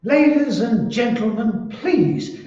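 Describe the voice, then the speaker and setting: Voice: mid-range voice, lively intonation, slow pace, warm tone. A performer playing a flustered, officious, henpecked husband, formal and pleading, in old-time radio comedy style. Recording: noisy echoey room, distant mic, dull sound.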